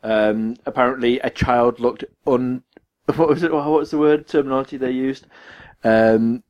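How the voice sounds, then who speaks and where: A man's voice: mid-range voice, measured pace, darkish tone. A man with a northern English accent, co-hosting a casual podcast and teasing his partner sarcastically. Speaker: podcast co-host. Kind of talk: casual chat.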